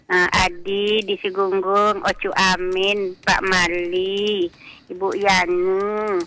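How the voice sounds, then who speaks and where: A woman caller with a Sumatran Riau accent and a bright, forward voice at middle pitch. She talks informally and ramblingly, like someone chatting with a familiar radio host. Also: level tone, takes it slowly, low-quality recording. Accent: Riau, Sumatran Malay-Minang. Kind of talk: casual chat, sending greetings.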